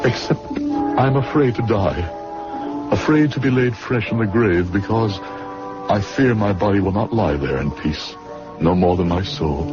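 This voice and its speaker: An actor playing a bitter, guilt-ridden middle-aged drunkard in a radio drama, brooding and theatrical. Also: male voice, deep voice. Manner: brooding, theatrical, self-loathing. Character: bitter, guilt-ridden, aging English drunkard haunted by fear. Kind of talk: radio drama acting, confessional narration. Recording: dull radio recording with music behind.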